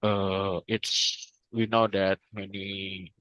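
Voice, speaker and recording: A man's voice: low voice, level tone, very slow, fairly bright tone. A young man from North Sumatra with an Indonesian accent, answering in class hesitantly with many restarts. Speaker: student. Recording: dry, dull lo-fi sound.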